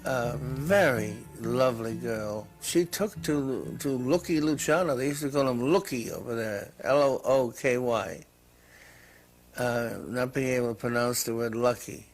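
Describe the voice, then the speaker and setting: A man at a middling pitch speaks slowly and thoughtfully in an interview, stopping now and then to rework a phrase. Soft music sits faintly under him. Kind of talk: recalling in an interview.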